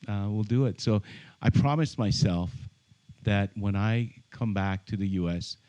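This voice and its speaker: Male interview guest from Iran, low mellow voice, friendly, halting delivery. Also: clean tone, low-quality recording. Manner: friendly, hesitant, many fillers. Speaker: podcast guest, realtor. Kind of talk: casual interview conversation. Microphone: studio microphone with headphones.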